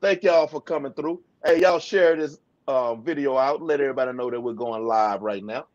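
A man in his forties hosting a casual livestream from a room full of aquariums, talking in a relaxed, drawling way. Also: medium pitch, normal speed. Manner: laid-back, drawling, shout-outs to viewers. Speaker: livestream host. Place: home fish room with aquariums. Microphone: webcam video call. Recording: slightly dull video call audio.

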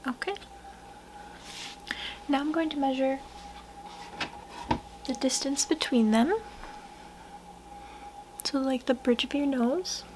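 A young woman speaking softly, often in a whisper, in a gentle, unhurried ASMR personal-attention roleplay, murmuring close to the listener. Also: high voice, noisy background. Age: young adult. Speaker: ASMR artist roleplaying a portrait painter.

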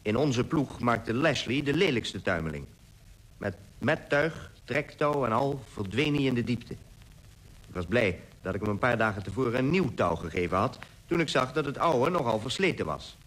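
Sober polar explorer in a radio play, matter-of-fact, medium pitch. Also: male voice, lively intonation, normal speed, husky, poor audio. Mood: sober recounting. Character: male sledging explorer soberly reporting an accident. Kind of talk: scripted radio drama narration.

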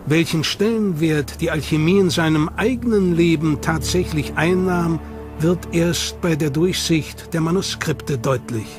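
A man giving measured, scripted documentary narration. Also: fairly high pitch. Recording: voice-over with loud music behind.

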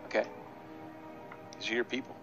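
A young man streaming a game over a headset, joking, swearing and laughing loudly while he plays with a friend. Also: normal speed. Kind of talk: casual gameplay chat. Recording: slightly dull audio with loud music behind. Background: music playing.

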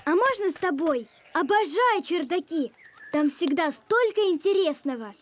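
A woman's voice: very high voice, animated, moderate pace, slight background noise. A woman voicing a curious, bubbly young girl in a cartoon, eager and inquisitive. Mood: bright, curious. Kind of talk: animated cartoon voice acting.

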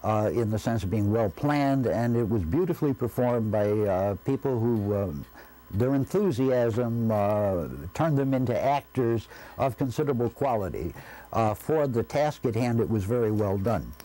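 A man in his sixties with a North American accent, reflecting in an interview in measured, deliberate, formal phrasing. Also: medium pitch, expressive, warm tone, rough recording. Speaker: interviewee, former radio station staffer. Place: quiet indoor room. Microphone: lapel.

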